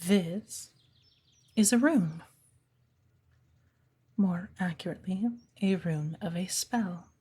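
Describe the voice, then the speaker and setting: Woman playing a strict but patient witch teacher, asmr roleplay, breathy husky voice, dark and relaxed, birds chirping in the background. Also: fairly low pitch, full character voice. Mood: patient teaching. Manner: measured, formal, instructive, commanding. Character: stern, patient witch mentor of the woods. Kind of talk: ASMR roleplay addressed to the listener as apprentice. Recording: birdsong behind the voice. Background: birdsong, woodland ambience.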